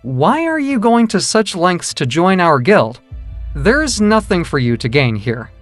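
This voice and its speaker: A man playing a calm, analytical young hunter who leads a guild in a manga dub, probing and deadpan. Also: high-pitched, unhurried, soft background music. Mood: puzzled, suspicious.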